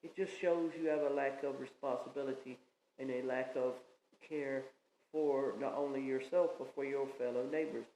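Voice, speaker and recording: A man's voice: mid-range voice, steady tone, normal speed, mellow, a little hiss or hum. A man in his thirties with a Southern US accent, preaching an informal message in an earnest, rambling, plain-spoken way. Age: 30s. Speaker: pastor. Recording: echoey room with faint ambience.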